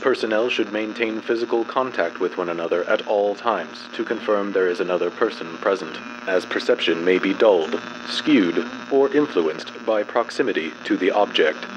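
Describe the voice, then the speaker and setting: A male narrator gives a dramatic scripted reading in a fairly low voice. His delivery is formal, measured and clinical, like an official horror log. A clear electrical hum with radio-like static sits under the voice.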